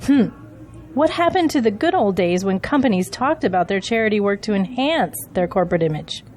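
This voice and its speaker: A woman's voice: mid-range voice, expressive, fairly quick, darkish tone, faint music behind, natural voice. A woman playing an indignant, loyal political campaign aide in an audio drama, defensive and argumentative.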